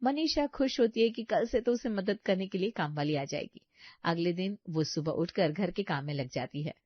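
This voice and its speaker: A woman's voice: fairly low pitch, lively intonation, normal speed, no room sound, dull audio. A woman reading scripted narration as a storyteller.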